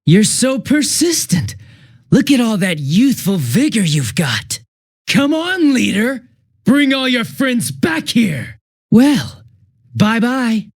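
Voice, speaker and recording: A smug, swooping villain. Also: male voice, extremely high pitch, very animated, slow, husky, no echo, studio quality, exaggerated voice. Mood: mocking, gleeful. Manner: sarcastic, taunting, theatrical swings between bored drawl and giddy mockery. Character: smug, mocking young male detective turned murderous villain. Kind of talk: video game voice acting.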